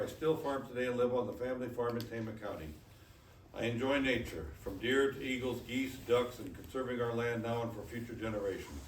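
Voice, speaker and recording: A man reading prepared written statements aloud at a public meeting. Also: medium pitch. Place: indoor public hearing room. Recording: echoey meeting room, distant mic, faint noise.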